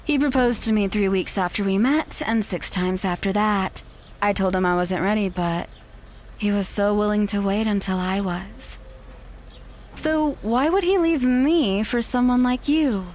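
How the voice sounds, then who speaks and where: A woman's voice: medium pitch, unhurried, a little mellow, slight background noise, close to an everyday voice. A woman playing a cold, condescending ex-fiancée. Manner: condescending, dismissive. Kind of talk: audio drama acting.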